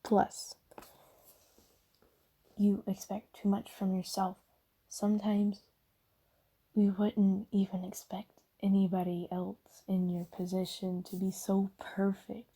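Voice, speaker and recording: A woman voicing a gentle, caring demon in a soft ASMR roleplay, hushed, breathy and soothing, often slipping into whispers. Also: medium pitch, monotone, slow pace, long pauses. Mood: soothing, understanding. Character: gentle, caring female sleep paralysis demon comforting someone.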